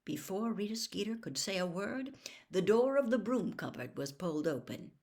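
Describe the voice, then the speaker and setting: A woman narrating a children's fantasy novel in an expressive read-aloud style. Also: mid-range voice, normal speed. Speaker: audiobook narrator.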